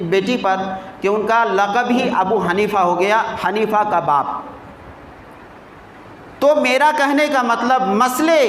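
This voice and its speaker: A male cleric delivers a sermon in a strikingly high-pitched voice. He teaches through storytelling and rhetorical questions, repeating key phrases to drive them home. The sound is echoey, with faint noise behind him. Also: fairly bright tone.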